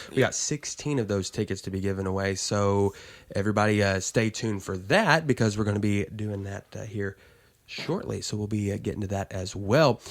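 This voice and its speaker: Chatty middle-aged radio host. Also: male voice, mid-range voice. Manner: friendly, chatty, promotional. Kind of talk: casual radio interview.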